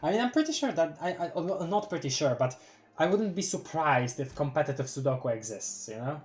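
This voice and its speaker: A streamer from Poland with a light Polish accent, reading a webcomic aloud on a live stream in a relaxed, chatty way. The delivery is giggly and excitable, with frequent stumbles over long words. Faint music plays underneath. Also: mid-range voice, a little fast.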